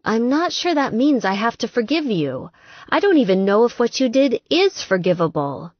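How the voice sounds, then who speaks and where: A woman's voice: a little high, very animated, moderate pace, dry recording, muffled recording, voice pushed up. A woman voicing a young, wary romance heroine in an audiobook, pointed and teasing in manner. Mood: hurt, reproachful.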